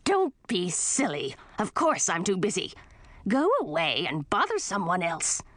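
A woman voicing a cranky, rude witch aunt with a raspy, lizardy voice in a storybook reading. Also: on the low side, sing-song, unhurried, fairly bright tone, heavily acted. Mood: snappish. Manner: barking, grumbling, dismissive.

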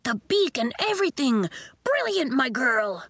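A woman's voice: medium pitch, sing-song, normal speed, no echo, clean studio sound. A woman voicing a hearty, encouraging male school coach in a storybook. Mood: thrilled.

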